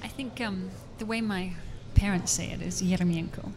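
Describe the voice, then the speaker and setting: A woman's voice: medium pitch, normal speed. A woman, a university professor, chatting in a radio interview, laughing often and restarting her sentences. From Australia. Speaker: university professor and artist guest. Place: busy public fair. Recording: crowd noise behind.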